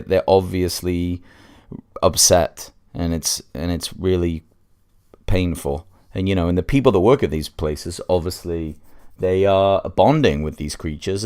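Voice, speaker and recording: Deep-voiced man podcasting with a head cold. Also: darkish tone, clean tone. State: congested with a cold. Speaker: podcast host and reviewer. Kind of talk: casual solo podcast commentary.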